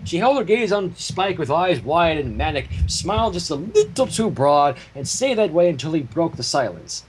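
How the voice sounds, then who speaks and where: A man narrating a story aloud in a slightly husky voice, with casual asides. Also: fairly high pitch, moderate pace, slight background noise, low-quality recording. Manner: animated, profane asides. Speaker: fan fiction reader and narrator.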